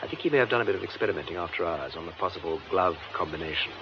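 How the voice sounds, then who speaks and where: An actor playing a composed, methodical English police superintendent in a radio drama, with measured, formal, precise delivery. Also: male voice, sits low, lively intonation. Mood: grave, narrating. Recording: muffled lo-fi radio drama, faint noise.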